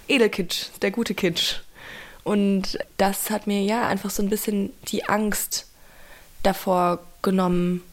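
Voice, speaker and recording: A young woman of around twenty talks in a loose, gushing way, circling her thoughts with plenty of fillers. Her pitch sits mid-range and barely moves, so the delivery stays level and even. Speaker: interviewee, young fan. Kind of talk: casual interview talk.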